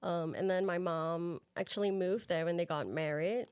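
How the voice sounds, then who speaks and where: A woman's voice: on the low side, moderate pace, darkish tone, clear, resonant tone, no room sound, studio quality. A woman from Singapore chatting casually as a guest on a podcast. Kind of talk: casual chat.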